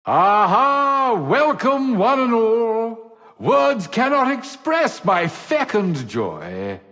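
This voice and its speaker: An actor voicing a flamboyant, teasing rogue operative in a video game, with florid, mock-gallant delivery. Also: male voice, extremely high pitch, very animated, drawn-out words, pushed and bright, exaggerated voice. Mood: exuberant. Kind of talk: theatrical video game character acting. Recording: long echoing hall reverb.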